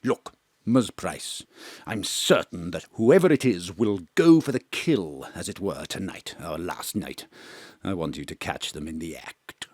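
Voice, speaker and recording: A man's voice: sits low, animated, measured pace, a little mellow, breathy edge, dry recording, full character voice. Guy doing a hammy stage luvvie, pompous, swoops all over the place, dry close mic. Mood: grandiose. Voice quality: theatrical, bursts into mock-Shakespearean ranting. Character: pompous hammy old male stage actor. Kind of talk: audio drama acting. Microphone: home studio microphone.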